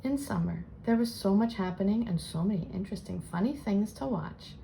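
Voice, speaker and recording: Animated woman reading to children, slightly creaky. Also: mid-range voice, brisk, slight background noise, low-quality recording. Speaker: children's book reader and channel host. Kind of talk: expressive read-aloud storytelling for children.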